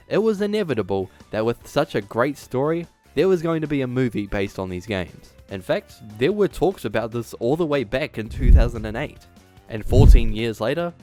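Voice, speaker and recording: A man's voice: medium pitch, darkish tone. A man giving scripted, opinionated commentary in a conversational, emphatic way. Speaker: YouTube video essayist, host. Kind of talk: scripted commentary on video game movies.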